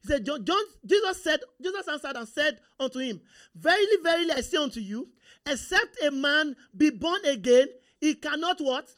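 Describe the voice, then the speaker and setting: A man's voice: extremely high pitch, big pitch swings, normal speed, a bit bright. A male preacher with a Nigerian accent, whose first language is Yoruba, giving a sermon and constantly asking the congregation questions and for agreement. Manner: call-and-response, rhetorical questions to the congregation.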